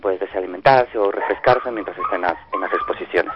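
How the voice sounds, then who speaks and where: A man's voice: deep voice, fast, over music. A man from Ecuador being interviewed over the phone, answering with many hesitations and restarts but with real enthusiasm for his hometown. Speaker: festival organizer guest. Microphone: phone line. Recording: dull lo-fi phone line.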